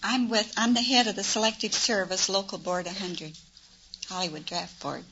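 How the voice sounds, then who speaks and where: A woman's voice: medium pitch, fairly even intonation, normal speed, a bit bright, a little hiss or hum. An American woman from Indiana answering as a contestant on a radio quiz, in a muffled vintage recording. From Indiana, USA.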